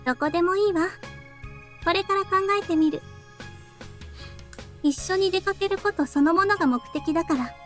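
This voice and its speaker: A girlish young heroine from a Japanese visual novel. Her voice is very high-pitched and she speaks briskly. Music plays underneath. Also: a bit bright. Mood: soft, composed.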